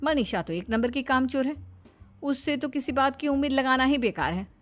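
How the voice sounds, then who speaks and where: A woman's voice: sits high, expressive, moderate pace, faint music behind, pitched above the performer's own voice. A woman voicing a jealous, spiteful young sister-in-law plotting in a cartoon family drama. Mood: scheming.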